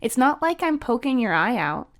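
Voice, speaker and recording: A woman's voice: fairly high pitch, sing-song, moderate pace, pitched above the performer's own voice. A woman voicing a girlish, mischievous big sister in a storybook, teasing and encouraging with a casual manner. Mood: breezy, playful.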